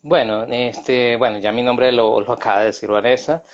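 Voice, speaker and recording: A man's voice: medium pitch, steady tone, normal speed, dull audio. A middle-aged man with a Venezuelan accent, a guest in a casual live video interview, talking freely with many hesitations and side remarks. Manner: chatty, many filler hesitations and self-asides. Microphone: video call.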